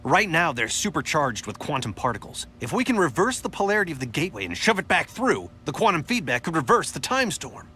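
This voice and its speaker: An actor voicing a brash young male superhero scientist in a video game, talking in a quick, sarcastic and urgent way. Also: on the high side, very animated, slight background noise. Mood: tense. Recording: game cutscene with loud score.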